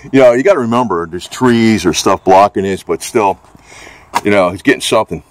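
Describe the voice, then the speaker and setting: A man giving a casual, off-the-cuff product demonstration, talking in a rambling, hesitant, folksy way. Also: mid-range voice. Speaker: gadget reviewer.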